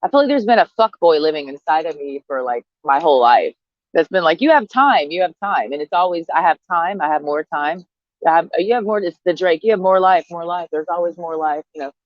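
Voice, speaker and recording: A woman's voice: fairly low pitch, animated, a little fast, no echo, highs cut off. Brash profane woman in her thirties. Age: 30s. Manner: brash, profane, sarcastic, laughing often. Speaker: vlogger. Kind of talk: casual monologue to camera.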